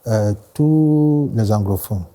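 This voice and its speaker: Ghanaian pastor with a deep dark voice. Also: male voice. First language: English. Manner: measured, many short agreements. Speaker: pastor and guest. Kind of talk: studio interview. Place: TV studio.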